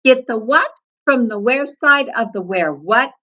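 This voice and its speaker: A woman's voice: on the high side, lively intonation, unhurried, pushed and bright, muffled recording, pitched above the performer's own voice, heavily acted. Woman acting a grumpy snarling storybook varmint. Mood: irritated. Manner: snarling, curt, barking orders. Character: scruffy bad-tempered male varmint creature, gruff and grumpy.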